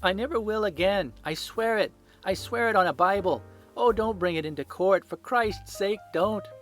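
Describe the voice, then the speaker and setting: A man's voice: normal speed, faint music behind, natural voice. A man voicing a small, frightened, cringing thief in an audiobook, with a strained, crackling, pleading delivery. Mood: desperate pleading. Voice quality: crackling, strained, high-pitched. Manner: pleading, servile. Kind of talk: audiobook character acting.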